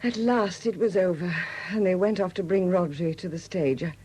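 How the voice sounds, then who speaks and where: An actress in a 1970s British radio drama playing a widowed murderess who confesses in a hesitant, confiding manner. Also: female voice, fairly low pitch, moderate pace, mellow, breathy edge. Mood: tense recollection. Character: quiet confessing murderess, a Welsh sculptor's widow.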